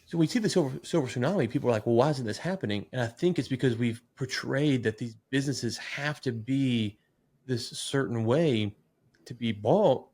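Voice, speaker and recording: A man's voice: medium pitch, moderate pace, darkish tone. A man in his late thirties talking conversationally as a business podcast host, animatedly acting out what other people say. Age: late 30s.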